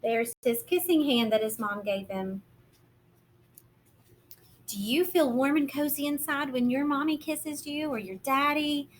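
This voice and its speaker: A woman reading a picture book aloud as a kindergarten teacher, gentle and expressive, explaining simply to young children. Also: high voice, slight background noise.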